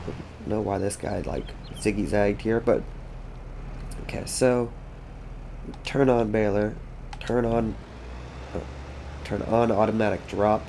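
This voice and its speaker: A man's voice: fairly low pitch, fairly even intonation, slow, vocal fry, pauses often, a little mellow, slight background noise. A congested, sniffly man giving rambling, casual commentary while playing a farming game, thinking aloud to himself and his chat. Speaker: gaming streamer.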